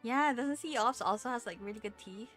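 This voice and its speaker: A woman's voice: fairly high pitch, brisk, fairly bright tone, soft background music. A young adult woman chatting casually on a live game stream, informal and musing.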